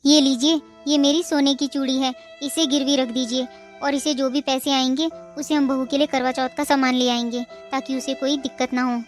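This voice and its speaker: A woman's voice: high voice, little pitch movement, moderate pace, faint music behind, natural voice. A woman voicing a caring mother-in-law. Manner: warm, motherly and reassuring. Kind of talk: Hindi cartoon dubbing.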